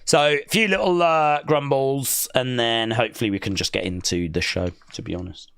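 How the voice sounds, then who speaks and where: A man's voice: mid-range voice, normal speed, vocal fry, a bit bright, clean tone, no echo. A man hosting a live wrestling stream, chatting loosely and reading out chat, his voice weak as if from a cold. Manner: rambling, hesitant, reads chat aloud. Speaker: wrestling watch-along streamer.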